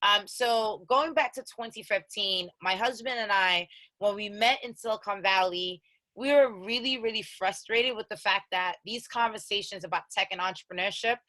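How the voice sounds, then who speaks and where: A woman's voice: mid-range voice, moderate pace, pushed and bright, no room sound, low-quality recording. A woman in her thirties with an American accent, a co-founder giving an online program orientation in a conversational, direct way and repeating key instructions. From Haiti. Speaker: accelerator co-founder presenting orientation.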